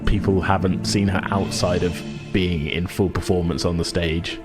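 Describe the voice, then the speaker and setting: A man's voice: low voice, fairly even intonation, moderate pace. A man improvising narration as a tabletop game master, hesitant and conversational, as if thinking aloud. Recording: music playing behind the voice. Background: music.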